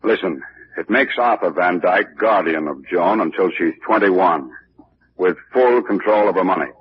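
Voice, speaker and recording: Male actor as no-nonsense police chief: deep, assertive, muffled vintage radio drama. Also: normal speed, close to an everyday voice. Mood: brusque certainty. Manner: blunt, assertive. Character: brusque, confident male police commissioner. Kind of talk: 1940s radio mystery acting. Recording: dull old radio recording, faint noise.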